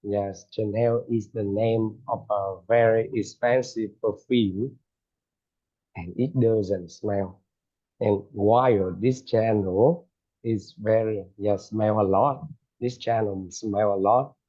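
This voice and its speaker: A man teaches English over a muffled, dull-sounding line. He talks slowly in a lowish voice and often stops to correct himself or fill gaps with "uh". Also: fairly even intonation, dark tone. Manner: slow, hesitant, with frequent fillers and corrections. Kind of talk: online English lesson.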